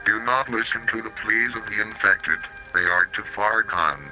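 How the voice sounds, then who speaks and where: A man's voice: lowish voice, bright, forceful tone, poor audio. A synthetic man's voice, robotic and mechanical, narrating a scripted analog horror public-safety warning tape with odd pronunciations. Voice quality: robotic synthetic text-to-speech voice. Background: slow music.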